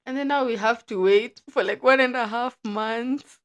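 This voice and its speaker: A woman hosting a podcast, chatty and explaining things in a relaxed way with lots of fillers. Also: fairly high pitch, measured pace. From Kenya. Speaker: podcast host. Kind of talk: casual chat.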